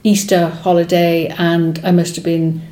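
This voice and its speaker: A woman whose first language is Welsh talks about her writing in a slow, reflective way, pausing and restarting as she thinks. Her voice sits low, with a warm and mellow tone. She sounds like a guest in a relaxed interview. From Wales. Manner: thoughtful, hesitant, many fillers and restarts.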